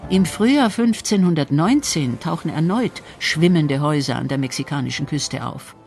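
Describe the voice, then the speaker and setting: A male narrator delivers a scripted documentary text. His voice sits high for a man, with light music playing underneath. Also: expressive, normal speed, vocal fry.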